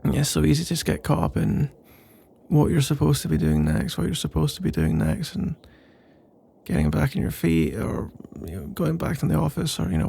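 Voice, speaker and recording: A man playing a nervous, pensive roommate in an intimate ASMR roleplay, speaking in a soft, husky, halting ramble. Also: a little high, exaggerated voice. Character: nervous, pensive male roommate working up to a confession.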